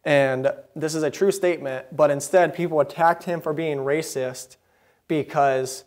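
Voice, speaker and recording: A young man delivers a sermon from a church pulpit, his voice sitting a little high for a man. He speaks earnestly into the pulpit microphone, slipping in quick asides with a mildly indignant edge. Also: steady tone, a little mellow. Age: young adult. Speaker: preacher. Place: church sanctuary, behind a wooden pulpit.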